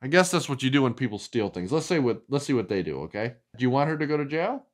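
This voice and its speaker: A woman reading a storybook aloud to a young child in an expressive, sing-song way. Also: rumbling low, quick pace. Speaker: storybook reader.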